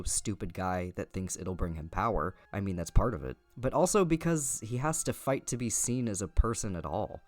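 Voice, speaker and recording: A man narrating a scripted video essay in an informal, opinionated way, with casual asides and the odd swear word. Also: fairly low pitch.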